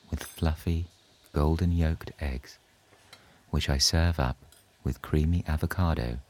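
Very deep, slow-voiced male bedtime narrator. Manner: soothing, hushed, unhurried storytelling. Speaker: sleep story narrator. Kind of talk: scripted bedtime story narration. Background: soft relaxation music.

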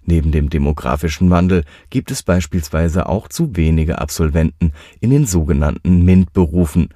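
A man reading a scripted definition in an even, informative way. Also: sits low, moderate pace, dry recording, clean studio sound. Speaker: explainer segment narrator.

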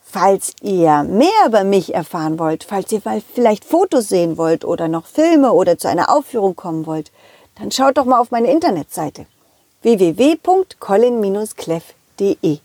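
A woman's voice: mid-range voice, sing-song, moderate pace, warm tone, dry recording. A woman voicing a confident, loyal, friendly dog who tells children's audio stories and speaks straight to young listeners. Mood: cheerful, friendly. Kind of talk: children's audio play narration.

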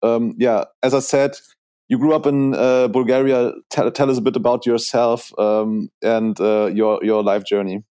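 A man's voice: medium pitch, steady tone, moderate pace, dark tone, smooth. A man hosting a podcast, asking questions with many restarts and fillers. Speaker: podcast host. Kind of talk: casual interview.

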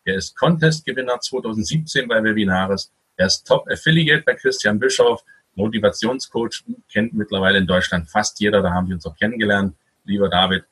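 A man with a very husky, rough-edged voice hosts an interview. His tone is bright and forceful, pitched a little low. He speaks conversationally, as if introducing and questioning a guest. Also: fairly quick, low-quality recording. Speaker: interview host.

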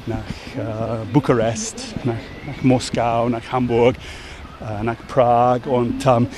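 A man from England with an English accent, chatting hesitantly with many fillers while walking outdoors as a tour guide. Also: mid-range voice. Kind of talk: casual chat while walking. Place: outdoors on city streets.

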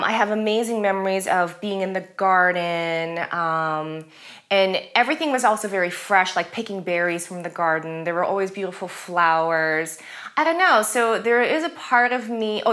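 A woman with a bright, clear voice talks about her childhood in an easy, effusive way. She grew up in Germany and spoke German as her first language, and she answers as a guest in a relaxed interview. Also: mid-range voice. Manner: warm, effusive reminiscing. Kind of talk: casual interview conversation.

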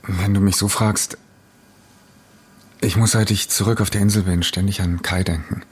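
A man's voice: low-pitched, fairly even intonation, moderate pace, husky. An actor playing a brooding, uneasy man haunted by guilt, speaking hesitantly and pensively in a naturalistic audio drama. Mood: haunted, grave.

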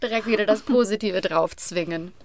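A woman chatting casually as a podcast host, in an animated, jokey, informal way. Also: medium pitch, moderate pace, vocal fry, slight background noise.

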